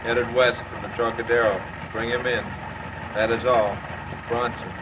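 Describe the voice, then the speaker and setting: A man's voice: medium pitch, little pitch movement, normal speed, lightly acted. An actor playing a clipped, businesslike male police radio dispatcher in a 1930s film. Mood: official, urgent. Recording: noisy, dull 1930s film soundtrack.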